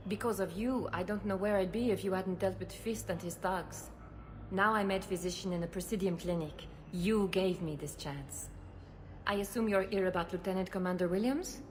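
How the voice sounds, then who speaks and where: A woman's voice: mid-range voice, normal speed, close to an everyday voice. A woman playing a composed, caring head physician in a dramatic video game. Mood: grateful. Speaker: doctor. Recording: ambient background noise.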